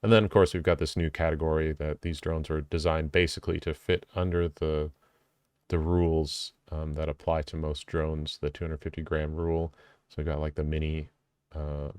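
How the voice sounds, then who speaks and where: A man's voice: a bass voice, flat delivery, moderate pace, darkish tone, clear, resonant tone, no room sound, clean studio sound. A man explaining drone rules in a casual video, thinking aloud with many ums and self-corrections.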